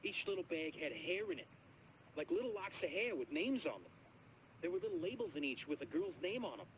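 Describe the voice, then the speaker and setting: An actor playing a rideshare driver who calls in with a strange story. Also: male voice, high voice, huge pitch swings, brisk, muffled recording, slight background noise. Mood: uneasy. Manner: hesitant, rambling storyteller. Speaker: caller.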